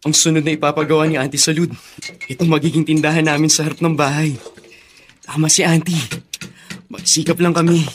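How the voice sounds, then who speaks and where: An actor playing an earnest, respectful young student in a Filipino radio drama. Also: male voice, a little high, level tone, a little fast, pauses often, a little hiss or hum, natural voice. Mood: hopeful. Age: young adult.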